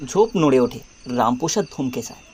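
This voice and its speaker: A man narrating a scripted dramatic story in short, suspenseful phrases with pauses. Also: medium pitch, expressive, a little mellow, slight background noise.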